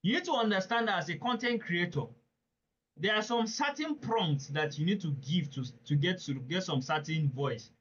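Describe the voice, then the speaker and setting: A man's voice: high voice, expressive, moderate pace, fairly bright tone, dull audio. A man presenting a live webinar, talking earnestly and emphatically, often turning to listeners with direct questions.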